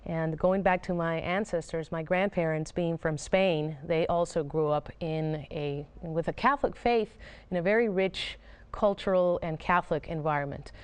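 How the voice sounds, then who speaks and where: Smooth-voiced woman from Costa Rica. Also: on the low side, moderate pace. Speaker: interview guest. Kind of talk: recorded interview.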